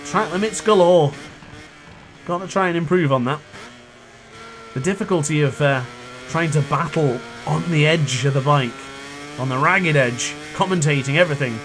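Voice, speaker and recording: A young man with a cold-hoarsened voice, chatting and thinking aloud while he commentates his own racing game play. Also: a little high, fairly bright tone, a little hiss or hum. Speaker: gaming youtuber. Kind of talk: live gameplay commentary.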